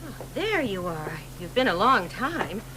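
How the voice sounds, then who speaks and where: A woman's voice: medium pitch, measured pace. An actress playing an impatient, pressing mother in a radio drama. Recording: radio broadcast with steady background noise.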